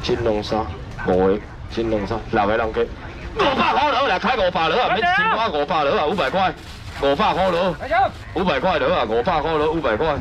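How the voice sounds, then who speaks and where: A man running a live seafood auction at an outdoor night market stall, loudly shouting prices and sales patter. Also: big pitch swings. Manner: loud rapid sales pitch, shouting prices. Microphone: wide camera in front of stall. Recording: noisy outdoor market, traffic behind.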